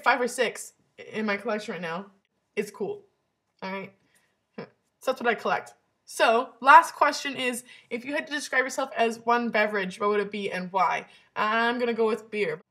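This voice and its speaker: A woman's voice: a little high, fairly bright tone. Casting: young woman, eighteen, from Canada, chatty vlogger who rambles and corrects herself. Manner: bubbly, rambling, lots of ums and self-corrections. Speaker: vlog channel host. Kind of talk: casual chatty vlog.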